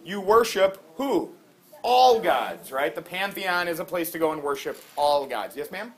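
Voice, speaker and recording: A middle-aged man teaching a class, lively and quizzing students with quick questions. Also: high-pitched.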